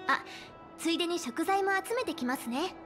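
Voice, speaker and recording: A woman's voice: extremely high pitch, lively intonation, moderate pace, over music. A woman voicing a bookish, earnest young woman in an anime game, speaking politely and precisely. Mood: earnest, reassuring. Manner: polite formal speech, precise, studious.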